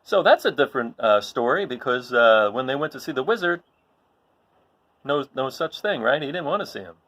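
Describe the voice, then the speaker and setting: A man reading a children's story aloud in an expressive style, as the host of a read-along. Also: medium pitch, normal speed.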